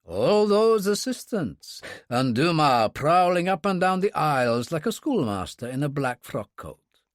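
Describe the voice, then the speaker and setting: A male reader acts out a suave Russian man of courtly, self-mocking charm. The accent is only slight and the pace is very leisurely. The pitch swings widely, in a close, dry storybook recording. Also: sing-song, no echo. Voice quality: pleasantly deep. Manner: unhurried, courtly, ironic.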